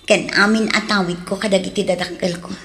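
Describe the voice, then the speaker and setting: A remorseful, anxious woman with an Ilocano accent played by an actress in a radio drama. She speaks at a middling pitch, with slight noise in the recording. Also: steady tone, fairly quick, natural voice. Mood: ashamed. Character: remorseful woman, former lover, anxious to make amends.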